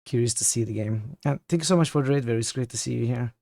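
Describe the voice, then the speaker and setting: A man who is losing his voice from illness chats with his viewers while streaming a game, rambling and halting as he thinks aloud from his home setup. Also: medium pitch, vocal fry, dark tone, no room sound, studio quality. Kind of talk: casual livestream chat.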